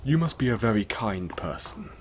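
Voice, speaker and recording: A man playing a kind, apologetic centaur in an audio roleplay, speaking gently and hesitantly to the listener in a reassuring manner. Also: on the low side, very animated, normal speed, slight background noise. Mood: gentle, forgiving.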